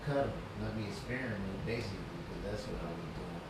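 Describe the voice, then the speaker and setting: A man's voice: low-pitched, fast. A middle-aged man, chatting casually as an interview guest in slangy, repetitive phrasing. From Philadelphia and New York, United States. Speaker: guest, barber and product CEO. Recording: echoey room with loud background noise.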